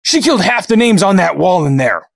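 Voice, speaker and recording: A man's voice: sits high, big pitch swings, a little fast, gravelly, no room sound, clean studio sound, heavily acted. A man playing a hardened, distrustful resistance fighter in an audio drama, confrontational and sarcastic. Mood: angry, distrustful.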